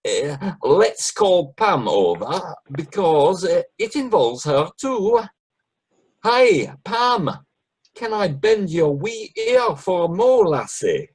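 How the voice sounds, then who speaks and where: A man's voice: high-pitched, huge pitch swings, takes it slowly, husky, full character voice. A man playing a jovial, canny Scotsman in a radio play, with a Scottish accent and hesitant, teasing, folksy delivery. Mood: eager, scheming. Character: jovial, canny old-fashioned Scotsman in a kilt. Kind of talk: amateur radio play acting.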